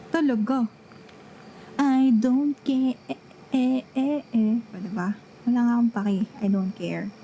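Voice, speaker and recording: A woman's voice: on the high side, warm tone, a little hiss or hum. A young woman acting a grumpy, jealous friend who feigns nonchalance in an ASMR roleplay, pouty and defensive, slipping casual English into her talk. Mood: sulky, feigned indifference. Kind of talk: Tagalog ASMR roleplay acting, one-sided conversation.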